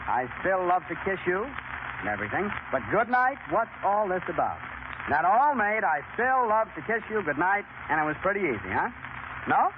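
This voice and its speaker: A noisy, narrow-band 1930s broadcast carries an American man with a high voice. He hosts in a chatty, folksy style, talking directly to the folks at home. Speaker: radio quiz show host. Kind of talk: 1930s radio presenting. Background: clear broadcast noise.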